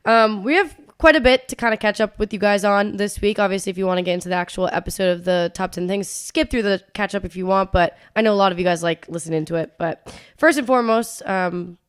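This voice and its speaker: A woman's voice: medium pitch, fast talker, clear, resonant tone. A young woman in her twenties with a stuffy, congested nose, telling stories casually as a podcast co-host. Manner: chatty storytelling, frequent "like".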